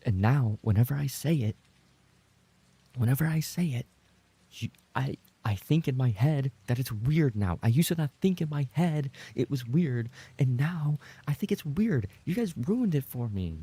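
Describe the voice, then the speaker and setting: A man chatting casually as a livestreamer, rambling and trading playful banter with his viewers, in a gravelly, husky voice. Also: mid-range voice, expressive, moderate pace, warm tone, no echo. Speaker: livestreamer and ASMR voice actor reading chat.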